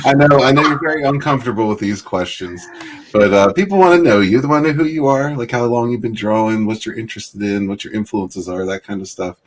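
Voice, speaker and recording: A man's voice: lowish voice, a little fast, a little hiss or hum, poor audio. A man hosting a casual livestream chat, greeting viewers by name and laughing often. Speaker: livestream host.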